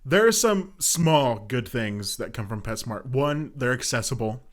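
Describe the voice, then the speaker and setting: A man's voice: mid-range voice, lively intonation, a little slow. A young man hosting a casual podcast, talking in a loose, rambling way full of fillers and frequent laughter. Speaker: podcast host. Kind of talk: casual chat.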